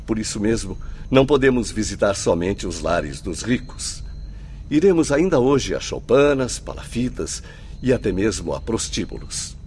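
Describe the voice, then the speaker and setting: A grave spirit mentor with a very deep, swooping voice, over noisy ambience. He is charitable and resolute, in radio drama style. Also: big pitch swings, moderate pace, a little mellow.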